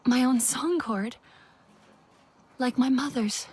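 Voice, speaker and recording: A woman's voice: sits high, slow pace, a little mellow, breathy edge, heavily acted. A woman playing a young alien heroine, an earnest outsider, in a plain, conversational video game performance. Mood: reflective. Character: young Na'vi heroine, earnest outsider learning her people's ways. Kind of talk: naturalistic video game acting.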